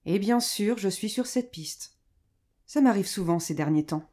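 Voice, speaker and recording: Actress as a defiant businesswoman, mellow dark tone, medium pitch, haughty, cutting, audio drama. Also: expressive, measured pace. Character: defiant, indignant businesswoman under police questioning. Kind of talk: French audio drama acting.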